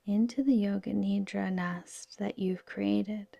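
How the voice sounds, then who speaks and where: A woman with a soft, whispery voice gently guiding a yoga nidra relaxation in a soothing, unhurried manner. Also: mid-range voice, steady tone, vocal fry, no echo. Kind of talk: guided relaxation meditation.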